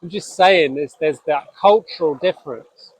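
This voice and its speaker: Scottish-born male host, no accent, earnest and halting, fairly high pitch. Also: breathy edge, rough recording. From Scotland. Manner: earnest, halting, with frequent restarts. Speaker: spiritual podcast host. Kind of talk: reflective solo talk.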